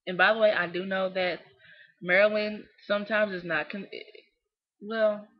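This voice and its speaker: A young Southern woman rants close to her webcam in a small dim room, scolding and emphatic, pitched in a middle range. The audio comes through dull and lo-fi. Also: steady tone, moderate pace. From Southern US, Kentucky. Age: young adult. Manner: emphatic, scolding, repeats "you know what I'm saying". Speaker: vlogger. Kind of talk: opinionated webcam rant.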